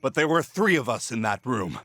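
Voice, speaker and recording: An actor playing an embittered, menacing middle-aged villain in a video game, with a theatrical, taunting delivery. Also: male voice, mid-range voice, sing-song, moderate pace, pushed and bright, dry recording, studio quality. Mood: bitter, gloating. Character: embittered, menacing villain, a false father consumed by obsession. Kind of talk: dramatic video game voice acting.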